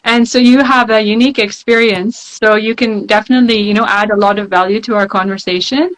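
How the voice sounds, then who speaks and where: A woman's voice: mid-range voice, fairly even intonation, fairly quick, rough recording. A woman hosting a panel discussion, encouraging her guests and talking with many fillers. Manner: encouraging, filler-heavy, warm praise of guests. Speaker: panel host. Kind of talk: moderating an online panel.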